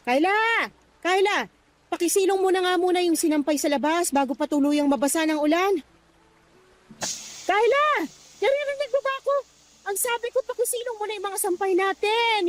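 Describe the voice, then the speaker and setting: An exasperated older mother in a radio drama, with a high voice. She grumbles about being overworked and calls out impatiently to her daughter-in-law. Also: a little fast. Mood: impatient calling. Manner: complaining, calling out.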